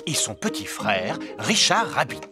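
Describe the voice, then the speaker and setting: A woman's voice: extremely low pitch, big pitch swings, a little slow, over loud music, exaggerated voice. A woman playing a friendly storyteller, reading scripted narration in for a preschool cartoon. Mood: storytelling.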